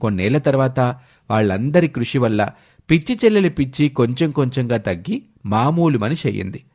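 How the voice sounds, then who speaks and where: Guy narrating a moral story, scripted, medium pitch. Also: lively intonation, brisk, a little mellow.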